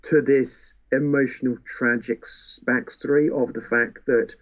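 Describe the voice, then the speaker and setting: A slightly muffled, lo-fi recording of a man with a medium-pitched voice. He talks informally as a co-host, explaining stories in a casual discussion. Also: fairly even intonation, unhurried. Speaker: podcast co-host. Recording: dull, lo-fi sound.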